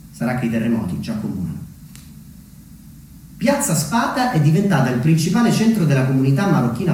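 A man from Umbria in Italy performing a reflective theatrical monologue as a solo storyteller, measured and pausing for weight. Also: mid-range voice, dark tone, slight background noise. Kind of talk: theatrical monologue, narrated memoir. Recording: very echoey, distant mic, music underneath.

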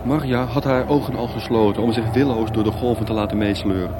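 A man's voice: mid-range voice, fairly even intonation, a little fast, very noisy. A man narrating a radio play as scripted, dramatic storytelling. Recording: music bed behind. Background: music.

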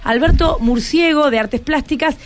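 High-voiced Rioplatense Argentine woman hosting radio. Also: normal speed. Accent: Rioplatense Argentine Spanish. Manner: encouraging, informal, asks many short questions. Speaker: radio host. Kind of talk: casual interview chat. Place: radio programme recording. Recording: music playing under the voice. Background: music.

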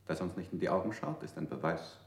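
An actor playing a pedantic, threatening male interrogator in a radio drama, measured and coldly logical. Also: sits low, expressive, gravelly, roomy sound.

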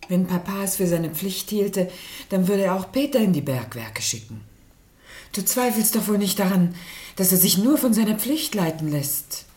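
A woman's voice: medium pitch, animated, moderate pace, warm tone, close to an everyday voice. An actress playing a dutiful, reproachful woman in a family radio drama, earnest and admonishing. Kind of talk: German radio play acting.

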